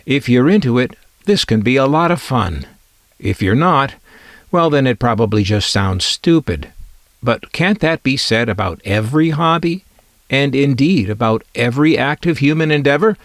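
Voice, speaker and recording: A man's voice: medium pitch, dry recording, clean studio sound. A middle-aged American man narrating a scripted but conversational collector's presentation, with wry, musing asides.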